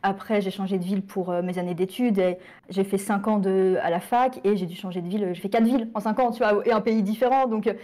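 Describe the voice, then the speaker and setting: A French woman in her late twenties, a guest chatting casually and filling pauses with "euh". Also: medium pitch, steady tone, mellow. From France. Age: 20s. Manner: chatty, with frequent fillers. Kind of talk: casual interview chat.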